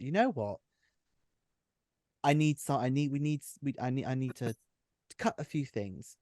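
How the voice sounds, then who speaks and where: A man chats casually in a medium-pitched voice. His way of talking is effusive and emphatic. The sound is dry, with little room to it. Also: warm tone, no echo. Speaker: audio drama writer and performer. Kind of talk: casual group chat.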